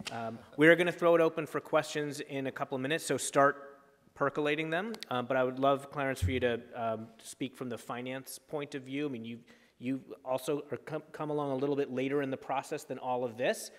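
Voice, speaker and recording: A man with a mid-range voice moderates a panel, measured and steering each question. The sound carries the long reverb of a large hall. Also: medium pitch, steady tone, a bit bright. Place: large auditorium.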